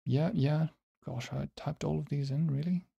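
A man from the Nordic region, a native speaker of Swedish or Finnish, talking casually to viewers as a quiz host, halting and self-deprecating as he thinks aloud. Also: on the high side, steady tone, gravelly, dark tone, slightly rough, muffled recording. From Finland or nearby Nordic region.

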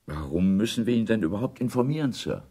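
An actor playing a reserved middle-aged intelligence officer in a German radio drama, restrained, courteous and guarded. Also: male voice, lowish voice, big pitch swings, quick pace, dark tone, breathy edge, full character voice. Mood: uneasy, polite.

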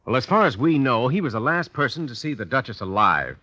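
A matter-of-fact police lieutenant, brisk, with a forceful mid-pitched voice and lively inflection. It is dull-sounding 1950s radio drama. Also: male voice. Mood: explaining.

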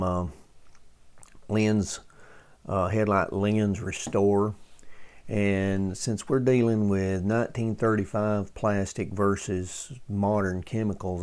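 A man hosting a casual hands-on restoration demonstration, talking in a folksy, halting way as he thinks aloud while working. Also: lowish voice, clear, resonant tone. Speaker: host of a vintage radio restoration channel.

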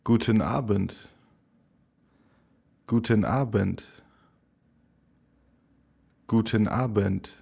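Slow, gravelly-voiced man. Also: lowish voice, mellow. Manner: slow, careful, each phrase said three times. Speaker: language teacher. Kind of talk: slow repeated phrase drill.

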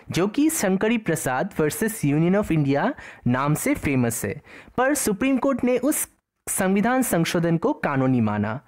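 A woman's voice: lowish voice, a little mellow. A woman narrating a slide-based exam-prep lecture, explaining each term in two languages. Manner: explains each term twice, in Hindi and in English.

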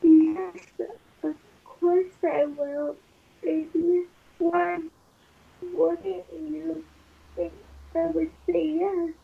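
A woman playing an affectionate young girlfriend in an amateur ASMR roleplay, speaking in a soft, drawn-out way. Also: very high voice, flat delivery, vocal fry, exaggerated voice. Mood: loving. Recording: muffled lo-fi playback.

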